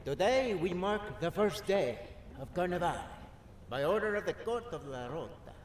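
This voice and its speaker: An actor in an audio drama playing a solemn public official, declaiming proclamations ceremoniously. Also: male voice, sits high, sing-song, moderate pace. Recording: distant, echoing, noisy outdoor ambience.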